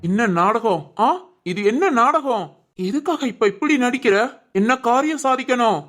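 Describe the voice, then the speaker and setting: A woman's voice: fairly high pitch, lively intonation, moderate pace, loud music behind the voice, lightly acted. A woman playing a bitter, angry middle-aged father in a family audio drama, scolding and accusing. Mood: scornful.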